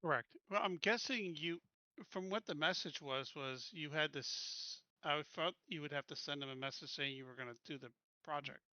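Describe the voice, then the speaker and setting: Very bright, crisp-voiced male gamer. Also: fairly high pitch, moderate pace, dry recording, highs cut off. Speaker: tabletop game player. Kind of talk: casual game chat.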